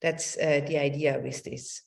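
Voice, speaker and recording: A middle-aged woman giving a semi-improvised academic talk over a video call, haltingly searching for words and checking with a questioning tag. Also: sits low, steady tone, gravelly, a little mellow. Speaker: academic lecturer. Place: indoor room, online presentation.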